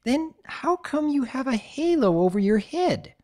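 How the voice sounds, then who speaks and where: A woman voicing a timid, excitable young filly unicorn with a squeaky, breathless voice in a dramatic reading. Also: a little slow. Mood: alarmed.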